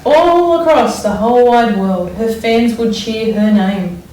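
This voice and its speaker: A woman teacher reading a storybook aloud to young children, expressively and deliberately, pausing to wonder aloud about it. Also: a little high, mellow. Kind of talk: expressive children's read-aloud with think-aloud explanations. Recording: echoey room, distant mic, faint noise.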